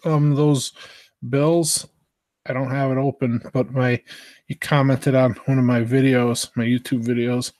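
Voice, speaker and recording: A man from the United States hosts a live stream with casual, rambling chat. His delivery is hesitant and mid-pitched, and the sound is dry and close. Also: flat delivery, unhurried, a little mellow, dry recording. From United States, Southern Virginia. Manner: hesitant, meandering.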